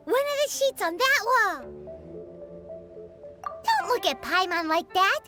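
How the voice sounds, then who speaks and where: Casting: excitable little fairy sidekick for a game, piercingly high childlike squeak, drawn-out pacing, music underneath. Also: female voice, heavily acted. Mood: excited. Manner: refers to herself in third person, exclamatory. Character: tiny excitable greedy girlish fairy companion. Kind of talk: video game character acting. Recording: prominent game music behind the voice. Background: game soundtrack music.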